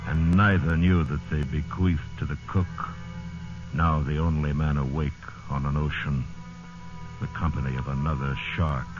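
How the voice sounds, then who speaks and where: A man's voice: extremely low pitch, flat delivery, normal speed, muffled recording, over loud music. A man narrating a grave sea survival tale in the style of 1950s radio drama, on an old radio recording. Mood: somber.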